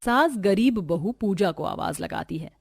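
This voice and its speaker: A woman's voice: fairly low pitch, lively intonation, a little slow, vocal fry, a little mellow, slightly rough. A woman reading scripted narration for a family drama cartoon story. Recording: music bed behind the voice. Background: background music.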